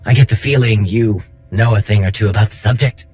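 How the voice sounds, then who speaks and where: A man playing a kind, idealistic young rebel with an expressive, friendly, slightly hesitant manner in a video game cutscene. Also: lowish voice, fairly quick, slightly rough, faint music behind. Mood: friendly, eager.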